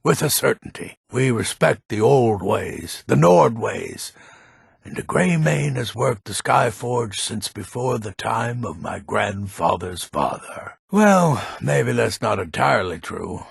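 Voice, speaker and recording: An actor playing a grumpy, proud old Nordic townsman in a fantasy video game, gruff and blunt, with a gravelly, husky voice. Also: mid-range voice, lively intonation, slow, no room sound, studio quality, exaggerated voice. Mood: proud, reminiscing. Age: older.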